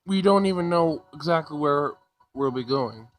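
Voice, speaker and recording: Visual novel role: shy, sincere young man read by a male player, hesitant and slightly higher-voiced. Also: animated, normal speed, natural voice. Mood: hesitant, earnest. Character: shy, earnest young man confessing feelings.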